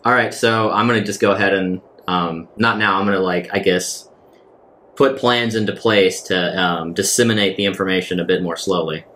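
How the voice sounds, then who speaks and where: A man's voice: deep voice, steady tone, moderate pace, bright, forceful tone. A man playing in a tabletop role-playing game, talking casually as he improvises what his character does. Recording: some background noise.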